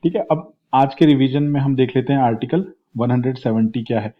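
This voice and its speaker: Husky Indian male teacher, slightly hoarse. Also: medium pitch, fairly quick. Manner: repeats questions in Hindi and English. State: slightly hoarse, sore throat. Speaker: exam coaching teacher. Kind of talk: quiz-style current affairs lecture. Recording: dull, lo-fi lecture audio.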